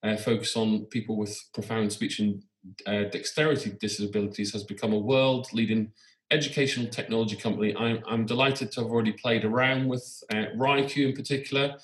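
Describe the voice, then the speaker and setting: A man's voice: fairly low pitch, fairly even intonation, fairly quick. A man hosting an education podcast, interviewing a guest with many fillers and false starts. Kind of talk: casual interview. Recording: echoey room.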